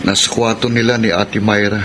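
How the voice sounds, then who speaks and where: An actor with a Filipino accent playing a polite, obedient high-school son, respectful and reassuring, in a radio drama. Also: male voice, fairly even intonation, brisk, noisy background, natural voice. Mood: plain, obliging.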